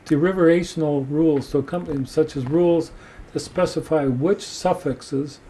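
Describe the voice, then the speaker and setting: A man hosting a podcast, reading passages from a book aloud and discussing them in a measured way. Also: a little high, mellow, slight background noise, poor audio. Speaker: podcast host.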